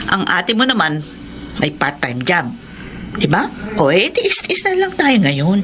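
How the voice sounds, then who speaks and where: A woman's voice: fairly low pitch, huge pitch swings, a little slow, background noise. An actress playing a caring, hard-up mother who works as a housemaid in a radio drama, plain-spoken and deferential to her employer. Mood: warm, practical. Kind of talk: Tagalog radio drama acting.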